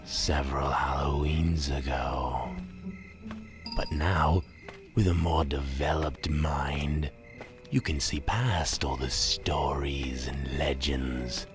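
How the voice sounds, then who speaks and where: Male narrator, extremely low pitch, unhurried pace, hushed and breathy, ominous theatrical horror storytelling, radio drama style. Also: fairly even intonation. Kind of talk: scripted Halloween radio drama narration, second person. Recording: music under the voice. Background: music.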